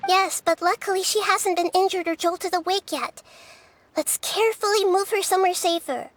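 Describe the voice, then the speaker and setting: A woman voicing a small, gentle young girl deity in a video game, measured, earnest and politely authoritative. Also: extremely high pitch, a little hiss or hum. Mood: calm, caring. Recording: game music behind the voice.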